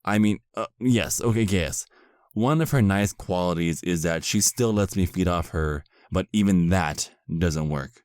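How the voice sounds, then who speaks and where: A man acts out a put-upon vampire husband in a comedic audio roleplay. The male voice is low and recorded close and dry. He complains with sardonic, hesitant asides. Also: a little slow, darkish tone, smooth, no echo. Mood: aggrieved venting.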